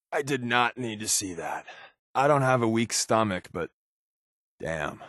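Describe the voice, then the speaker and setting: A man voicing a wry, secretive spy companion in a video game, with casual, sardonic, deadpan quips. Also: lowish voice, no room sound, studio quality, full character voice. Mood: sardonic, uneasy. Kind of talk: game companion dialogue lines.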